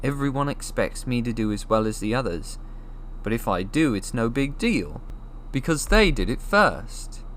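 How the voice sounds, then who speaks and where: A man acts out a young boy wizard from a storybook. He grumbles and runs himself down, yet stays animated, with big swings in pitch. A clear hiss of noise sits in the recording. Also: moderate pace, poor audio. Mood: gloomy. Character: young boy wizard, glum, self-deprecating, eager to impress.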